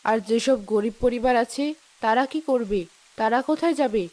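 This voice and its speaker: Woman playing a highish-voiced, reproachful village wife. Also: normal speed, a little mellow, dry recording, lightly acted. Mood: worried, reproachful. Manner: reproachful, moralizing. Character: compassionate, outspoken wife of village headman. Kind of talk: cartoon voice acting.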